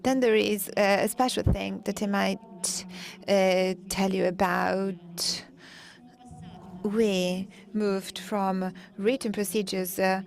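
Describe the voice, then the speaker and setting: Slow, even-toned woman interpreting, halting. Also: mid-range voice, creaky. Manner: halting, with frequent pauses mid-sentence.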